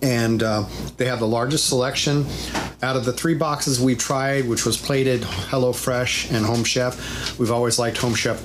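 Relaxed middle-aged man reviewing food. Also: mid-range voice, measured pace. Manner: relaxed, chatty, many ums. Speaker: vlog host. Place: home kitchen, indoors. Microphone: fixed camera mic at mid distance. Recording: noisy home recording.

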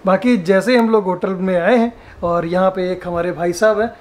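A man's voice: sits high, steady tone, normal speed. A man from Uttar Pradesh, around his thirties, chatting casually and animatedly to a handheld camera as a vlogger in a hotel room. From Uttar Pradesh, India. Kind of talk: casual vlog chat. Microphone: camera held at arm's length. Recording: some background room noise.

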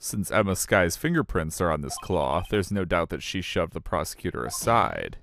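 A man's voice: sits low, very animated, moderate pace, gravelly, fairly bright tone, a little hiss or hum, full character voice. A man voicing an earnest, determined young defense lawyer in a courtroom game, firm and accusing.

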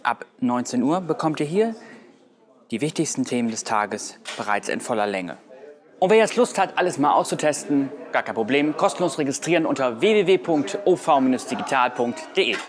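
A man's voice: medium pitch, expressive, moderate pace, crowd noise. Young guy walking you through how something works, mid-range voice. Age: young adult. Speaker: presenter. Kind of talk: explainer to camera and voice-over.

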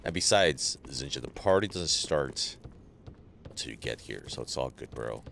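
A man streaming a game live, chatting casually with viewers and greeting them by name, sounding a little stuffy from allergies, on a boom mic. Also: normal speed, gravelly, noisy background. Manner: greets chat viewers, relaxed banter. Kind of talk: casual live gameplay chat.

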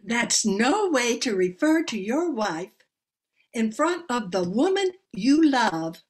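A woman's voice: on the high side, sing-song, takes it slowly, husky, full character voice. An older woman playing a meddling, proper old aunt with an earnest, moralizing manner in an amateur radio play. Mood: scolding. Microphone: computer on video call.

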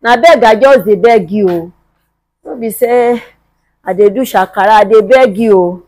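A woman's voice: medium pitch, dark tone, dry recording, close to an everyday voice. A woman acting a hungry, long-suffering mother in a film drama, pleading repetitively and plaintively with a Nigerian accent. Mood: weary pleading. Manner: plaintive, repetitive begging with drawn-out wails.

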